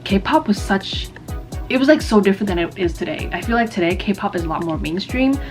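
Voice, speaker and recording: Twenty-something woman yapping to her subscribers, lots of "like"s and self-roasting jokes, medium pitch, music going in the background. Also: animated. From East Coast of the United States. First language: Vietnamese. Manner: chatty and rambling, many fillers, quick bursts, self-mocking jokes, frequent laughs. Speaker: YouTuber. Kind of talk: casual get-to-know-me chat to camera. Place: her own room at home.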